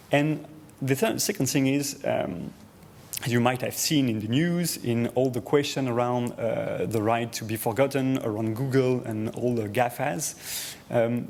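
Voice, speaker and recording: A man's voice: mid-range voice, darkish tone, a little hiss or hum. A man from France with a French accent, presenting to an audience, talking with frequent hesitations, fillers and restarts. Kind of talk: presentation talk.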